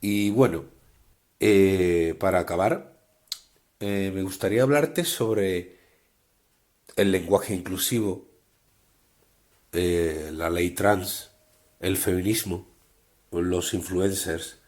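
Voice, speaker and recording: A man's voice: low-pitched, takes it slowly, long pauses, a little mellow. A man hosting a scripted interview, putting questions and addressing listeners as presenter. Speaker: interviewer, host.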